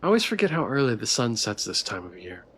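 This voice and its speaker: A man's voice: mid-range voice, very animated, fast talker, fairly bright tone, breathy edge. A man reading a visual novel aloud as a streamer, narrating the story of a game playthrough. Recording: background music. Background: music.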